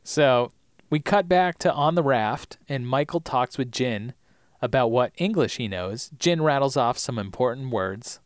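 Man, podcast co-host, hoarse and tired voice, casual recap, mid pitch, dry close sound. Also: no room sound. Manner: laughs often, narrates scenes in detail. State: losing his voice.

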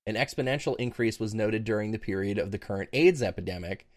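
A man's voice: fairly low pitch, quick pace. A man co-hosting a podcast, reading research out in a dry mock-academic way with laughing asides. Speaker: podcast co-host. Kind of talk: casual podcast chat, reading research aloud.

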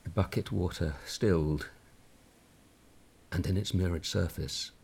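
A man acting a worn-down British husband telling his tragic story in a radio-style drama. His voice is husky and full of gravel, heavy with grief and exasperation. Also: low voice, measured pace, a little mellow, full character voice. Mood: bleak, grieving. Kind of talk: dramatic first-person monologue.

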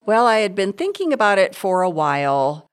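A woman's voice: mid-range voice, sing-song, moderate pace, smooth. A woman in her sixties from South Dakota, a city council member answering interview questions in a friendly, chatty way. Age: 60s. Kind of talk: conversational interview.

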